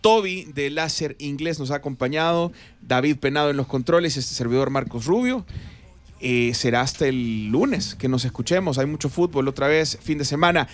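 A man's voice: on the high side, moderate pace. A man hosting a morning football radio show, leading the panel chat. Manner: lively, wraps up and reads sponsor spots. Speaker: show host. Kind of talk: morning sports radio talk.

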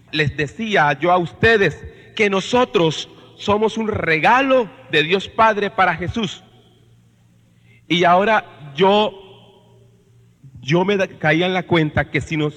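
A man's voice: a little high, bright, forceful tone. A man with a Colombian Caribbean accent preaching as a priest to his congregation, emphatic, repeating phrases and putting questions to listeners. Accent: Colombian Caribbean Spanish. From Colombia's Caribbean coast. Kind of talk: sermon-like talk to a congregation. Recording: long reverberation of a large hall.

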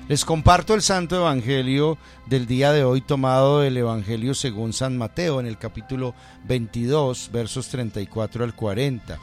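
A man, a priest, gently interviewing a guest about her faith and reading the day's Gospel solemnly. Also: medium pitch, normal speed, hardly any pauses, soft background music. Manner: gentle, affirming, reads scripture solemnly. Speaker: priest hosting.

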